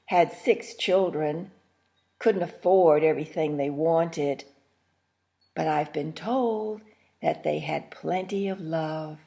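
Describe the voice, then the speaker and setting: Slow, lower-voiced woman reading a memoir. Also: creaky, a little mellow. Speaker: story reader. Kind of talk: storytelling, reading a first-person holiday memoir aloud.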